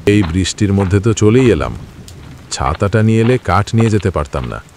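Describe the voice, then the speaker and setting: Male actor as humble poor woodcutter, Bengali cartoon style, earnest, plaintive, lively swings in pitch, rain behind. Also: normal speed, background noise. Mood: musing. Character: poor, humble, hardworking village woodcutter, a worried husband. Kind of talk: Bengali moral-story cartoon voice acting.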